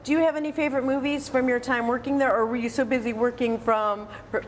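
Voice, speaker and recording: Female American interviewer, chatty and affirming, slightly high pitch, conversational delivery. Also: steady tone, quick pace, slight background noise. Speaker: interview host.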